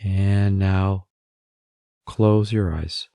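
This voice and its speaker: Slow, low-voiced man guiding meditation. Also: creaky, smooth, no echo, clean studio sound. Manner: calm, gentle instructing with long pauses. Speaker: meditation guide. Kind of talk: guided meditation.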